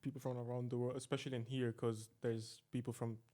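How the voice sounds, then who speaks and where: Young male guest, medium pitch, informal conversational delivery. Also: flat delivery, fast talker, mellow. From northern Italy. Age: young adult. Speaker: podcast guest. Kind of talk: casual conversation.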